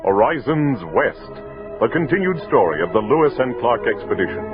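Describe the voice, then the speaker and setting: An American man narrating in a formal, measured mid-century radio style, as the programme's announcer. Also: mid-range voice, big pitch swings. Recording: muffled old radio recording. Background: music.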